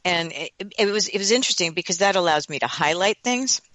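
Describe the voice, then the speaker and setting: Low-toned woman hosting radio. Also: expressive, quick pace, fairly bright tone, no room sound. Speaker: radio host. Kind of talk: radio interview.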